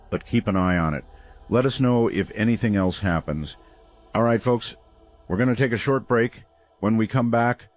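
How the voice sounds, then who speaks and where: An actor playing a calm, measured, folksy late-night call-in host in a radio drama. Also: male voice, deep voice, highs cut off, lightly acted.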